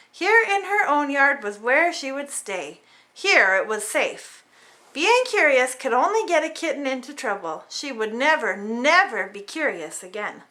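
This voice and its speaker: A woman reading a children's storybook aloud in an animated, dramatic way for small children. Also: high-pitched. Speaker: storybook reader. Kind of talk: expressive children's read-aloud narration.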